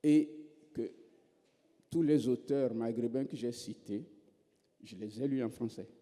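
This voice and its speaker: Dark-voiced wry man in a reverberant hall. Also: medium pitch, unhurried. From Togo. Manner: wry, self-mocking storytelling. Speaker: writer on a panel. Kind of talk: reflective panel talk.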